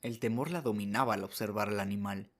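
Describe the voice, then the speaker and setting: A man's voice: on the low side, brisk, a bit bright, slight background noise. A man narrating a short story as a scripted radio drama, reading as the narrator.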